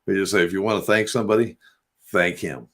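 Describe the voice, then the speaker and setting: A man's voice: low-pitched, fairly even intonation, fast, a bit bright. A man from West Virginia hosting a spiritual podcast, talking in an earnest, rambling, preachy way. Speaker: host. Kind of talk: casual spiritual talk on a radio podcast.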